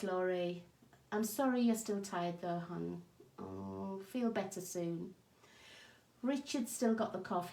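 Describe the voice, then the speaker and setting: A woman with a croaky, hoarse voice from a cough, chatting casually with viewers by name as she hosts a craft live stream from her home craft room. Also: medium pitch, a little mellow. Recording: echoey room.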